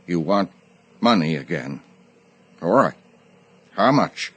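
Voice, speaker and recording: Radio drama judge: middle-aged man, husky, hot-tempered and demanding, with hugely varied intonation. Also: deep voice, big pitch swings, slow, heavily acted. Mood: curt, exasperated. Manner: curt, indignant, demanding.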